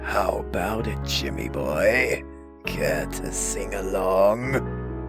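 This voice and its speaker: A man's voice: low-pitched, expressive, drawn-out words, music in the background, exaggerated voice. A man playing a theatrical, mocking clownish villain in a comic dub, taunting like a showman. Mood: gleeful menace.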